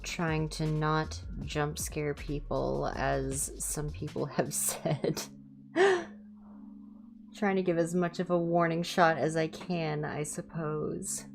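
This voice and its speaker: A woman hosting a livestream, chatting casually in ASMR style with a low-key, rambling, self-deprecating manner. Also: little pitch movement, slow pace, gravelly, faint music behind. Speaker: livestream host and reader.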